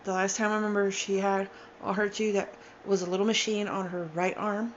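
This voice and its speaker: A tired, upset woman in her forties speaks at a middling pitch, halting often and sighing between thoughts. Her account comes out unscripted, with restarts. The recording is dull and lo-fi, with a faint hiss underneath. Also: flat delivery. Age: 40s. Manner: halting, emotional, with sighs and restarts. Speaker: storyteller sharing a personal account. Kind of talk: unscripted personal testimony.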